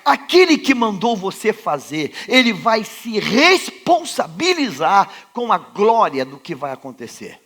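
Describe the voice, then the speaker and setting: A Brazilian pastor with a Rio de Janeiro accent, preaching a sermon in a large church sanctuary, who poses rhetorical questions and repeats refrains, swinging from quiet to loud. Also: male voice, high-pitched, big pitch swings, measured pace, hardly any pauses. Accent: Rio de Janeiro Brazilian Portuguese.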